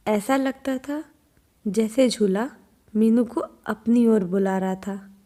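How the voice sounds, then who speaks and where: A woman's voice: fairly high pitch, slow pace, mellow. A woman narrating a scripted children's horror story as its storyteller.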